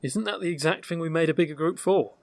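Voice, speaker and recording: A man's voice: on the high side, fast talker, gravelly, dry recording. A man acting a wry, easygoing adventurer in a fantasy audio drama, with a teasing manner.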